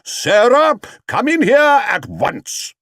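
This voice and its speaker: A pompous, theatrical stage actor with a booming, dramatic voice, put on by a male storyteller. He swings wide and loud, gruff and grand by turns. Close, dry reading. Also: sing-song, takes it slowly, dry recording, exaggerated voice.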